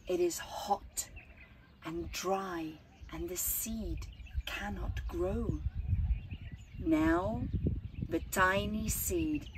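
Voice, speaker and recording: A middle-aged woman reading a children's picture book aloud outdoors, phrasing deliberately with dramatic emphasis and hushed words. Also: fairly low pitch, background noise. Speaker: storytime reader. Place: outdoors at a flower farm. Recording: outdoor ambience with birdsong.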